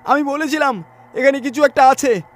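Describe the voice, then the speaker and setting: Man doing a nervous, high-pitched reluctant friend. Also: moderate pace, faint music behind. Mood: panicked. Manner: alarmed protesting.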